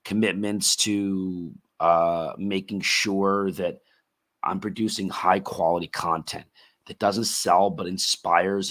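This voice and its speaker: Podcast host, man, middle-aged, deep voice, raspy and a bit worn, earnest motivational talk. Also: a bit bright. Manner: earnest, thankful, repeats key words. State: voice worn from long talking.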